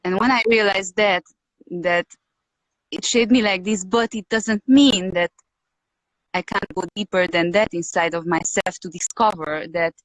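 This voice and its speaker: A woman with a Hungarian accent, raised in Romania, talks slowly through her thoughts as an interview guest. Her voice sits a little low and is recorded dry and close, with no room sound. She hesitates often, repeats words and searches for the right phrase. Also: no room sound, low-quality recording. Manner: hesitant, searching for words with many pauses and fillers. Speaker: podcast guest. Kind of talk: reflective interview talk.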